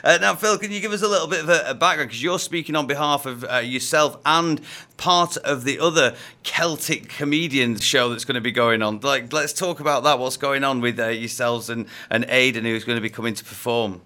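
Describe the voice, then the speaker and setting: A man's voice: medium pitch, a little fast, bright, forceful tone. A man hosting a radio interview, chatty, with rambling questions put to a guest. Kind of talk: upbeat live radio interview.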